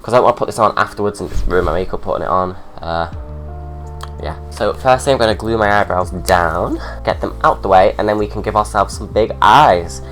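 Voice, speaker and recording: A man's voice: on the low side, a little fast, a bit bright, faint music behind. A young man with a stuffy cold, chatting sarcastically through a drag makeup tutorial at home. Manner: chatty, sarcastic, ranting asides.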